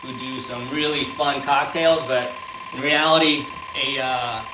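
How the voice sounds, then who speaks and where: Halting man in an echoey, noisy room. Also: medium pitch, steady tone. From born in the Philippines, grew up in the US. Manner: halting, repeats words, informal. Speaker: guest business founder demonstrating a product. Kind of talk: casual live-stream product talk. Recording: roomy, echoey live stream with loud background noise.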